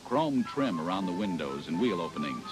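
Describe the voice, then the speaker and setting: A man's voice: low-pitched, sing-song, normal speed, poor audio. An American man narrating a scripted 1970s promotional sales film in a polished announcer's delivery. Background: music.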